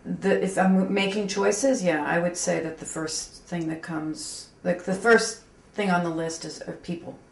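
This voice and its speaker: A woman in her mid-fifties speaks in a low, gravelly voice, candid and self-deprecating, pausing often with fillers and restarting her sentences. The recording comes from an echoey room with a faint hum underneath. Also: steady tone. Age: 50s. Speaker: graphic designer, interviewee. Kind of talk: candid reflective interview talk.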